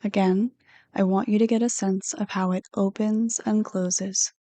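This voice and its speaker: Mellow, even-toned woman guiding meditation. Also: mid-range voice, no echo. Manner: soothing, unhurried, gently instructive. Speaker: counselor hosting guided meditations. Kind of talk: guided meditation, read from a script.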